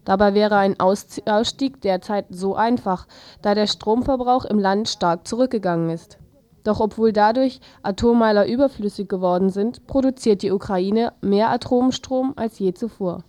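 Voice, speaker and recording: Mellow female news reader, dry sound. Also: mid-range voice, moderate pace, no room sound. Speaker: news presenter. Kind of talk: scripted news reading.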